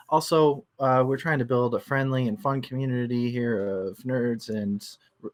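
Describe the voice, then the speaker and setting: A man co-hosting a book club livestream, informal and playfully mock-formal in his delivery. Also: medium pitch. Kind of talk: casual show hosting.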